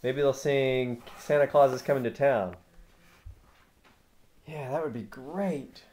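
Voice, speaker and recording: A man's voice: mid-range voice, takes it slowly, darkish tone. A man, a father, chatting casually with children in a playful, encouraging way and prompting them with questions. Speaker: father watching his child's puppet show. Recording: amateur home video sound.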